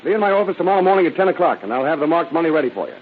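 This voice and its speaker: An actor in a 1930s radio drama playing a firm, shrewd narcotics captain with a clipped, commanding delivery. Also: male voice, fairly high pitch, very animated, rapid-fire, breathy edge, full character voice. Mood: shrewd, bargaining. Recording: tinny, hissy old radio broadcast.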